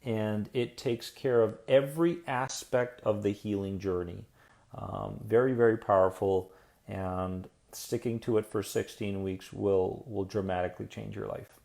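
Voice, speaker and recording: Man, anxiety coach on a live stream, gravelly, dark mellow tone, encouraging, soothing. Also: fairly low pitch, fairly even intonation. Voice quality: soothing radio-style voice. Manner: upbeat and encouraging, reads out viewer comments; slow soothing guided-meditation delivery. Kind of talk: casual live-stream chat answering viewer comments, then guided visualization.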